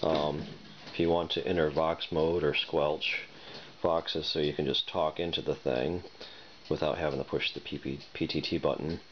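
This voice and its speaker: A man's voice: very deep voice, level tone, moderate pace. A man giving a casual product demonstration, thinking aloud in a tentative way as he fiddles. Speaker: product reviewer. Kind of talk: casual unboxing and demonstration talk. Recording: dull lo-fi recording, noisy with clicks and beeps.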